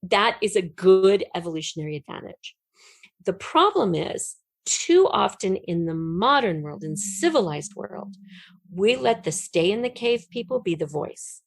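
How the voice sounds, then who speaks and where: A middle-aged woman giving an earnest, emphatic and expressive explanation as a guest in a conversational interview. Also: mid-range voice, unhurried, creaky, no echo. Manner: earnest, emphatic, expressive storytelling with illustrative metaphors. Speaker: podcast guest, writer.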